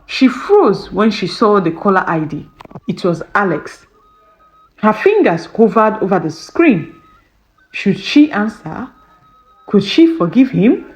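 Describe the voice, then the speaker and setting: A woman storyteller reads romantic drama with a lively, swooping melody. She takes her time over each phrase, in a measured and expressive scripted delivery at a medium pitch. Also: soft background music, poor audio. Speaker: storyteller narrator.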